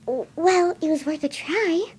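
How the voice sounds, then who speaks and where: A woman performs a shy female pegasus pony in a fan-fiction story. The voice is extremely high and childlike. She speaks gently and hesitantly, stumbling over words with a nervous, caring temper. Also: unhurried. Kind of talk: fan fiction dramatic reading.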